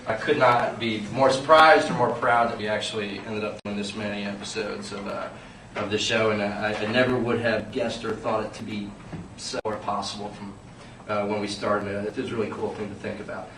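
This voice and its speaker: A man leading a table read as director, speaking informally and reading out stage directions in a halting way. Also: fairly low pitch, fairly even intonation, fairly bright tone. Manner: halting, self-interrupting. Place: indoor room at a group table read. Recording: noisy, echoey room, distant microphone.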